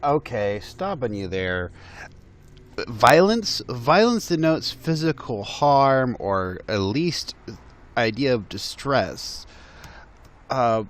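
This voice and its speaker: A man's voice: mid-range voice, very animated, slow pace. A man giving exasperated, mocking reaction commentary on a video, laughing through his remarks.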